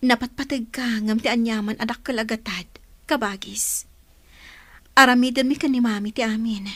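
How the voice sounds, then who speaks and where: Actress as breathy, devoted young sister. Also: female voice, fairly high pitch, steady tone, normal speed, fairly bright tone, no echo. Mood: reassuring. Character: devoted, earnest young woman supporting her sick sister. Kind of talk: melodramatic radio drama dialogue.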